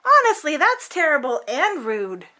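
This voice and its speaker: A woman's voice: high voice, huge pitch swings, a little slow, sharp tone. A woman reading a children's picture book aloud as host, theatrically, drawing out words for emphasis.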